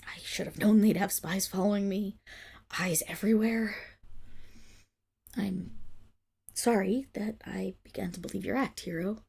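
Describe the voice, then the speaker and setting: A woman acts out a proud tsundere wolf-girl of noble birth in an intimate ASMR roleplay. Her voice is breathy and husky with a creaky edge, at an ordinary female pitch. She speaks in haughty, formal phrases that trip into stammers and sink toward whispers. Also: steady tone, measured pace, pauses often, darkish tone. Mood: subdued, resigned. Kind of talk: ASMR roleplay acting, addressed to the listener.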